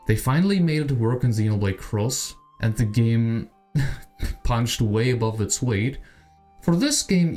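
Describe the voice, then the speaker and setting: A man's voice: on the low side, mellow. A man hosting a video essay about games, giving opinionated, speculative commentary in a conversational way. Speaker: video creator, channel host. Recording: music behind the voice. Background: background music.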